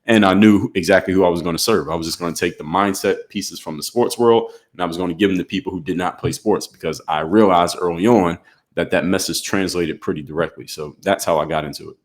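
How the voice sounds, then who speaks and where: Deep-voiced man telling his story. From Philadelphia. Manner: steady, measured storytelling with pauses. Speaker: guest, former pro basketball player turned entrepreneur. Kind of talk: podcast interview storytelling.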